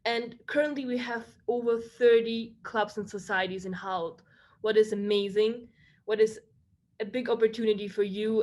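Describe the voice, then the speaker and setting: Young woman from Ukraine, a student leader talking over a video call, keen and quick to restart her sentences, mid pitch. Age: young adult. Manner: eager, with frequent restarts and "like".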